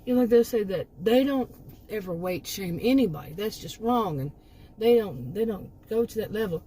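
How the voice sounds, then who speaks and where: A woman's voice: medium pitch, expressive, moderate pace, warm tone, slight background noise, rough recording. A middle-aged woman hosting her own channel, giving a rambling, emphatic opinion monologue with many restarts and asides while she is losing her voice. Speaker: commentary channel host.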